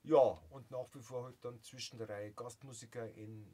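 A man's voice: mid-range voice, fairly even intonation, moderate pace, mellow. A man around fifty with a Bavarian accent, a musician chatting jovially in an interview in a small home studio, full of fillers and restarts. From Bavaria, Germany. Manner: jovial, laughs often, many fillers and restarts. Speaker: musician guest. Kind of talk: casual interview chat.

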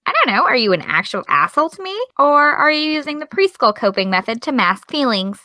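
A woman's voice: high-pitched, very animated, fairly quick, pushed and bright, dry recording, full character voice. A young actress playing an exasperated, defensive young woman with dry comebacks in an audio drama. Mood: suspicious. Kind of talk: audio drama dialogue of a video call.

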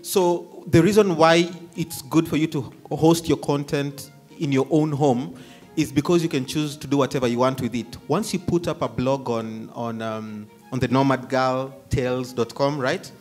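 A man's voice: medium pitch, fairly even intonation, moderate pace, darkish tone, soft background music. Confident man advising on a panel. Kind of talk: panel answer. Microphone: handheld mic.

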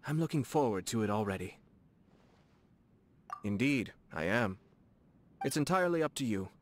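An actor playing a courteous, composed young nobleman in a video game, speaking formally and softly with a gently teasing manner. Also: medium pitch, animated, a little hiss or hum, lightly acted. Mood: teasing, gracious. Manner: formal, measured, politely playful. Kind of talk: video game character dialogue. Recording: studio voice over game music. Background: game background music.

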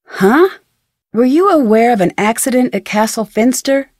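A woman's voice: a little high, expressive, moderate pace, a little mellow, no room sound, clean studio sound. A young American woman voicing an inquisitive, polite and determined amateur detective in an adventure video game, with an earnest, questioning delivery. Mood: curious, earnest. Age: young adult. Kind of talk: scripted adventure game voice acting.

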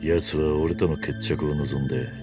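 Stoic gangster hero, extremely deep voice, terse, restrained, video game drama, background music. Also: male voice, fairly even intonation, a little slow. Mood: grave, resolute. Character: stoic, steely tough ex-yakuza man in his thirties.

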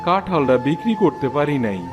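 A man's voice: a little high, animated, brisk, natural voice. A man voicing a weary, humble old jackfruit seller in a cartoon, plaintive and folksy, with a rural Bangladeshi accent. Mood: weary, sorrowful. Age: older. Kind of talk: cartoon storytelling dub. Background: prominent music.

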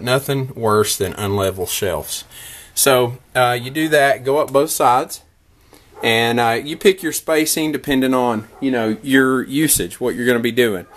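A man in his thirties casually narrating a woodworking how-to in his workshop, relaxed and explanatory, with frequent fillers and restarts. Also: mid-range voice. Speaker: woodworking vlogger. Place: workshop shed.

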